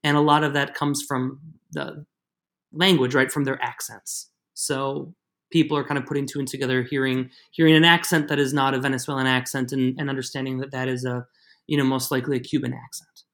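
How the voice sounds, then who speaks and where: A man's voice: medium pitch, level tone, fairly quick, a bit bright. A man who is the guest on an interview podcast, explaining at length with many restarts. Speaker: guest expert.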